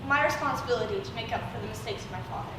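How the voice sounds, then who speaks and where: A woman's voice: on the high side, racing, close to an everyday voice. A woman playing a hurt, resentful but dutiful sibling, speaking earnestly and emotionally in an amateur stage drama. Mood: subdued, earnest. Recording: echoey room from far off, noisy.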